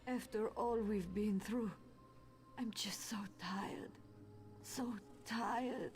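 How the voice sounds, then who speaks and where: An actress playing an exhausted, grieving refugee hunter in video game dialogue. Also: female voice, mid-range voice, extremely slow. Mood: grieving, weary. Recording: quiet, faintly noisy game capture.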